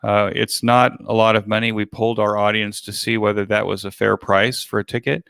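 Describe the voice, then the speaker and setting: Older man, low-pitched, smooth resonant tone with fry, halting delivery, interview guest, dry sound. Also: little pitch movement, a bit bright, no echo. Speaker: guest, virtual expo organizer. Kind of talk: casual interview chat.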